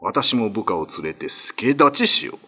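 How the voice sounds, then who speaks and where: A man acting a renowned, dignified Ryukyuan lord and demon slayer in a radio drama, speaking gravely in old-fashioned, commanding language. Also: lowish voice, very animated, normal speed, bright, forceful tone, faint music behind. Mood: urgent, commanding.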